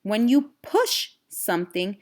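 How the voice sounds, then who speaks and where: A woman voicing an encouraging female science teacher in a storybook, giving clear classroom instructions. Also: mid-range voice, big pitch swings, takes it slowly.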